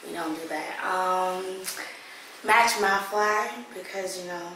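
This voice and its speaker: A young woman with a raspy voice, speaking slowly and playfully as a blindfolded contestant on a blind date. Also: on the low side, pushed and bright, slight background noise. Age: young adult. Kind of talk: casual chat. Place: living room indoors. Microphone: far camera. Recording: echoey room from far off.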